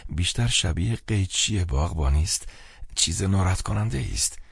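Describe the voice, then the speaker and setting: Male narrator as a nervous rule-following seminary student, hushed and hesitant, husky, voice lifted higher. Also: lively intonation, no room sound, clean studio sound, full character voice. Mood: pained. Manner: hesitant, hushed, evasive, pained. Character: reluctant, rule-bound young seminarian, the narrator's troubled brother.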